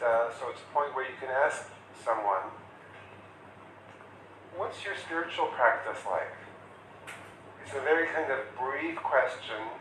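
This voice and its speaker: A man's voice: medium pitch, fairly bright tone, roomy sound, recorded from a distance, slight background noise, poor audio. A man teaching a class on counseling, talking casually and acting out short example questions. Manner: conversational, acts out client questions. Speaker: instructor. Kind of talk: classroom lecture.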